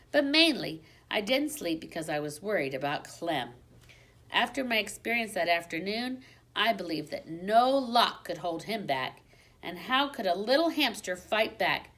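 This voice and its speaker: Expressive woman reading a children's story aloud. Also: medium pitch. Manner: dramatic, with hushed suspenseful drops.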